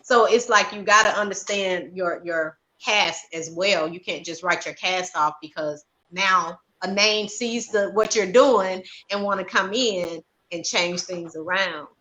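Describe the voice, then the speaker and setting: Bright, forceful-voiced woman. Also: fairly low pitch, a little slow, hardly any pauses, rough recording. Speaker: stage play writer-director. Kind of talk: panel chat.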